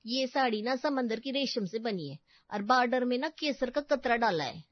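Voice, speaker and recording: Woman putting on a sassy, high-pitched housemaid. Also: expressive, normal speed, no room sound, highs cut off. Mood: boastful. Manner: cheeky, colloquial street-style Hindi with English words. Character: sassy, overconfident working-class housemaid woman, boastful.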